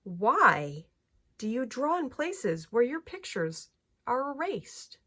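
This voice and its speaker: A woman's voice: on the high side, very animated, slow pace, pushed and bright, pitched above the performer's own voice, exaggerated voice. A woman reading the part of puzzled onlookers in a children's storybook, with an emphatic, questioning manner.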